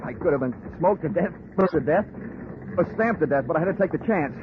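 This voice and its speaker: An actor playing a wisecracking tough investigator in a 1940s radio drama, wry and clipped. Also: male voice, a little high, animated, quick pace, narrow, tinny audio, very noisy, close to an everyday voice. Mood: urgent. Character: hard-boiled wisecracking male investigator narrating his case. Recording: thin band-limited 1940s radio recording, music.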